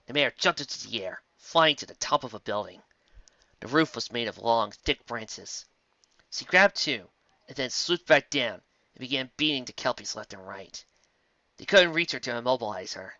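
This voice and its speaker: Lively, bright-voiced male story narrator. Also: mid-range voice, no room sound, highs cut off. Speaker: audiobook reader. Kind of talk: fan fiction audiobook narration.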